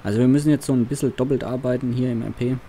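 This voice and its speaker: A man's voice: mid-range voice, fairly even intonation, rapid-fire, warm tone, a little hiss or hum. A young man chatting casually while playing a farming roleplay, giving loose commentary. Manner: chatty, laughs between phone calls. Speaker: let's play roleplay host.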